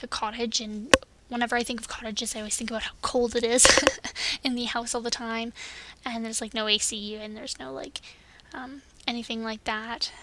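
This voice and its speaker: A young woman with a cold talks through her build in a bright, fairly high voice, her nose stuffed up and sniffly. She chats loosely, laughs at her own jokes, and drifts into whispery asides. A faint hiss sits under the voice-over. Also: poor audio. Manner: chatty and self-deprecating, laughs often. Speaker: game builder narrating a speed build. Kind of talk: casual voice-over commentary.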